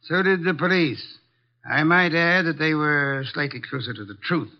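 An actor playing an imperious, irritable older detective in a 1950s radio drama, with pompous, precise and disdainful diction. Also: male voice, a little high, moderate pace, lightly acted. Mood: lofty, brisk. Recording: dull lo-fi vintage radio recording.

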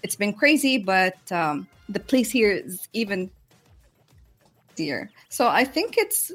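Female podcast guest from Jordan, reflective and halting storyteller, mid-pitched, music underneath. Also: sing-song. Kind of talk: personal storytelling in a live interview. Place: live remote video call.

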